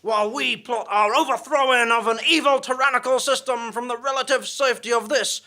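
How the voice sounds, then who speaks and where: A man's voice: very high-pitched, fairly even intonation, brisk, bright, forceful tone, full character voice. A man playing a chatty, theatrical rebel guide from another dimension in a comic radio play, mock-portentous with casual asides. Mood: grandly theatrical.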